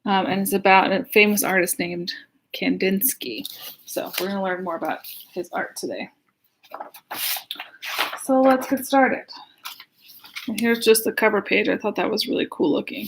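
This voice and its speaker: A woman's voice: mid-range voice, fairly even intonation, normal speed, vocal fry, pauses often, noisy background. An American woman, a pastor leading a casual livestream storytime, chatting to children and asking them simple questions. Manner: chatty, asks young listeners simple questions.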